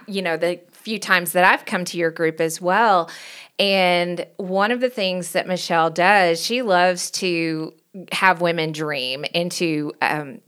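Smooth-voiced Southern woman, low pitch. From Knoxville, Tennessee. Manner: warm, earnest, rambling with restarts. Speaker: pastor and worship leader, podcast co-host. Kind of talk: casual chat.

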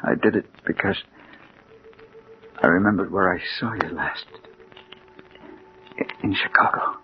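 A male actor in a 1940s radio drama playing a gravely wounded, frightened man with a halting, gasping, overwrought delivery. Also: low-pitched. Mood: weary, resigned. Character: terrified, gravely wounded man facing Death. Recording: dull, noisy 1940s radio recording.

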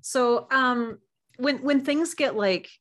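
A woman's voice: sits high, takes it slowly, a bit bright. A woman speaking as a podcast guest in casual chat, thinking aloud in a hesitant, conversational way.

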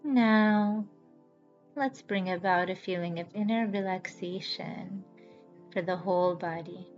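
A woman leads a guided relaxation in a soothing, unhurried voice at a middling pitch. She gives each instruction slowly and leaves deliberate pauses. The sound is a little dull, with music playing behind her. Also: darkish tone. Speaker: yoga nidra guide and podcast host. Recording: slightly dull audio with background music.

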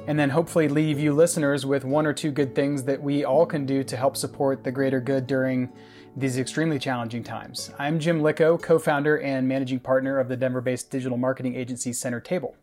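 A man's voice: mid-range voice, little pitch movement, quick pace, darkish tone, faint music behind. A man co-hosting a podcast, reading a scripted opening in an announcer-like way. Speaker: podcast co-host.

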